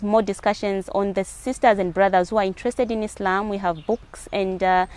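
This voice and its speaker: A woman with a Zambian accent giving earnest answers as a guest in a spoken interview. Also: mid-range voice. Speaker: interview guest, hijab advocate.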